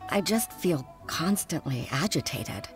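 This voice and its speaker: A woman's voice: low-pitched, expressive, slow pace. A woman playing a dutiful, worried young daughter in a video game, earnest and polite. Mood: troubled. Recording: music playing behind the voice.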